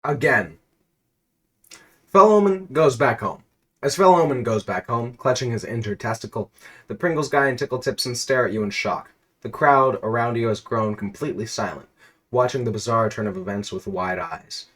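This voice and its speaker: A man reading a silly, absurd story aloud in a deadpan narrating voice, now and then cracking up. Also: medium pitch, moderate pace. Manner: deadpan narration, breaking into laughter.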